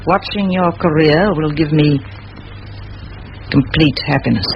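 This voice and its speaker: Determined spinster teacher, firm measured delivery, eloquent, vintage radio drama, dull hissy recording. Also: female voice, sits low. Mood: earnest, impassioned. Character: determined, commanding spinster schoolteacher, middle-aged. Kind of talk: vintage radio play acting. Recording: muffled old radio recording, faint hiss.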